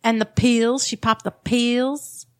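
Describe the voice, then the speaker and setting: A woman's voice: on the high side, flat delivery, slow, a little mellow. A woman co-hosting a podcast, chatting casually on a studio mic, matter-of-fact as she passes on news. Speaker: podcast co-host. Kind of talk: casual chat.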